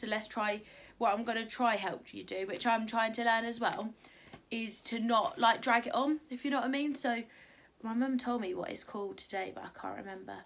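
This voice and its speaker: A young woman talks casually to camera, her voice a little high and croaky from just waking up. She rambles warmly through her points, full of fillers and friendly encouragement. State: croaky morning voice. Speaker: vlogger. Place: at home.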